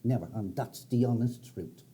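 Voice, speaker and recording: A man's voice: mid-range voice, moderate pace, dark tone, echoey room, natural voice. A man playing an effusive young man who insists on his praise. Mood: gushing. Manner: gushing, insistent.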